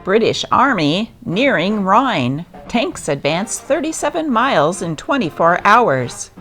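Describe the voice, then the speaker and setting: A woman's voice: medium pitch, very animated, slow. A woman hosting and reading old newspaper articles aloud as scripted narration. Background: music.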